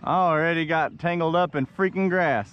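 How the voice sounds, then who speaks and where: A man, chatting casually and excitably in slangy talk as he films a fishing outing. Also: a little high, moderate pace. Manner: excitable, laughs often, slangy. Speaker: fishing vlogger. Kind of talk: casual chat while filming a fishing outing. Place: kayak on an open lake, some in a car. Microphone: action camera worn or mounted close by. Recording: muffled outdoor action camera, clear background noise.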